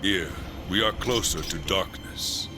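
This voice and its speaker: A steadfast angelic guardian in a fantasy game. His voice is low and his speech formal and earnest, like a seasoned soldier, with cinematic music clearly behind it. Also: a little slow. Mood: grave, steadfast. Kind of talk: dramatic game cutscene acting.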